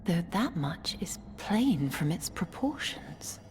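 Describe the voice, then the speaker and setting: An actress voicing a calm, observant female scholar in a game, speaking in a measured, partly whispered way. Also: on the low side, heavily acted. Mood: thoughtful. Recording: long reverb, faint game ambience.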